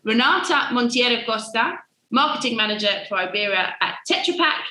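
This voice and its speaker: Bright enthusiastic woman hosting a webinar. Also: on the high side, animated, a little fast, reverberant, rough recording. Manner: upbeat, enthusiastic introductions. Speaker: webinar host.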